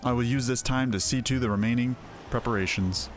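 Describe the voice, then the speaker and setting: A man's voice: medium pitch, a little fast, natural voice. Man voicing a resolute young heroine over game music. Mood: resigned, earnest. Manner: earnest, formal archaic speech. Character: passionate, resolute young woman, a champion of her city. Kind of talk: voiced fantasy game dialogue.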